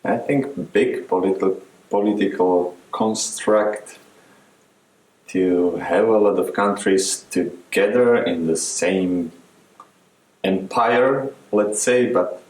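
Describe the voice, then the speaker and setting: A man's voice: low voice, takes it slowly, pauses often, a little mellow. A man answering interview questions for a documentary. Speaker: improv festival artist interviewed. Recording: echoey room.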